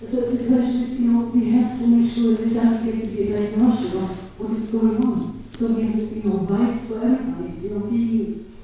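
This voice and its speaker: A woman's voice: a little high, steady tone, fairly quick, hardly any pauses, mellow, a little hiss or hum. Woman in the audience, earnest and rambling, heard from a distance in a reverberant hall. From Germany. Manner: rambling, earnest, many "you know" fillers. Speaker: audience member asking a question. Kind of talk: question from the floor at a public debate. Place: large university auditorium. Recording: echoey hall, voice from far off.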